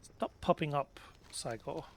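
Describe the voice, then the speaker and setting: A man giving casual, unhurried let's play commentary, musing to himself as he plays. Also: on the high side, a little hiss or hum. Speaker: let's play video creator.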